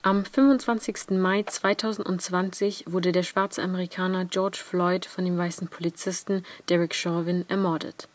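A woman's voice: lowish voice, flat delivery, moderate pace, few pauses. A young woman reading scripted factual narration for a radio piece. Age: young adult.

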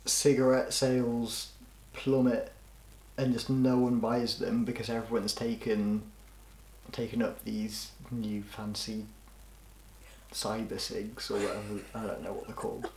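A man's voice: on the low side, little pitch movement, a little slow, darkish tone, roomy sound, poor audio. A young man co-hosting a casual podcast chat, dropping dry joking asides. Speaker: podcast co-host.

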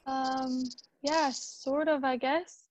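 A woman acting the part of Hannah's unsettled grown daughter and wife role in a radio drama, speaking haltingly. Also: high-pitched, little pitch movement, full character voice. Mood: bewildered, hesitant. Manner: hesitant, halting.

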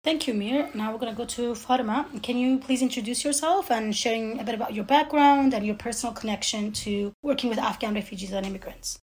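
A woman's voice: fairly high pitch, a little fast, few pauses, a little mellow. A woman hosting an interview, introducing guests and asking questions in polished, formal phrasing. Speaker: interview host. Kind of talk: semi-scripted interview hosting.

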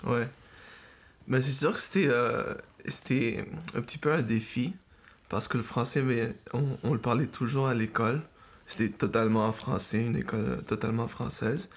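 A man's voice: medium pitch, fairly even intonation, moderate pace. A man in his thirties from Mexico, talking casually as an interviewee.

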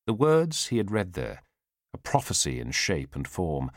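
Deep-voiced man narrating a dramatic audiobook. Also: huge pitch swings, no room sound, clean studio sound. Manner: measured, solemn, suspenseful delivery with weighted pauses.

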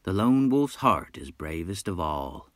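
A man putting on a bragging frontier wolf hunter who never stops talking, his voice pushed up higher and louder than the narration, folksy and brash. The recording is dry and close, with no room around it. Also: sing-song, unhurried, no room sound. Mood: boastful. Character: boastful talkative male wolf hunter, adult.

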